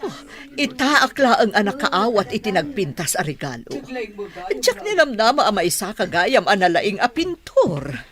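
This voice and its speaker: A woman's voice: medium pitch, huge pitch swings, normal speed, a crowd in the background. An actress playing a gracious, effusive, motherly mistress of a mansion in a radio drama. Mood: delighted.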